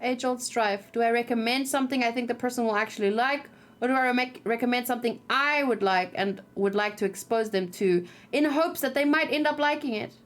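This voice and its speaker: High-voiced woman chatting over a game. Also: brisk. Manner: chatty, thinks out loud, reacts expressively. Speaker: let's play streamer. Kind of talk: casual gameplay commentary, reading game text aloud.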